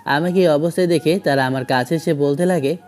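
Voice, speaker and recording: Mellow man narrating a romantic story. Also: fairly high pitch, brisk, faint music behind. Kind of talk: first-person dramatic story narration.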